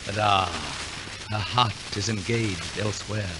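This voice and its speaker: A man's voice: lowish voice, steady tone, measured pace, loud music behind the voice. A man narrating a 1940s radio operetta in a theatrical, wry, tongue-in-cheek storytelling manner. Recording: noisy 1940s radio broadcast recording.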